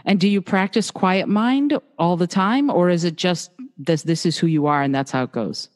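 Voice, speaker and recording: A woman's voice: lowish voice, moderate pace, big-room sound. A woman born in Moldova, hosting a podcast and chatting casually with her guest. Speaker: podcast host. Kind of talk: casual interview.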